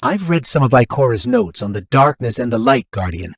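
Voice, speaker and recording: Earnest, animated companion. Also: male voice, medium pitch, a little fast, vocal fry, slightly rough, dry recording, exaggerated voice. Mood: curious. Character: earnest, alert companion advising a warrior. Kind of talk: video game character dialogue.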